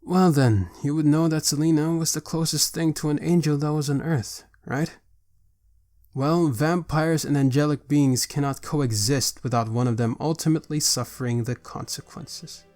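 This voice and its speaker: A man's voice: a little high, steady tone, normal speed, a little mellow, lightly acted. A man voicing a stern, commanding vampire father who leads a council, in an amateur roleplay. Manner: commanding, formal, probing.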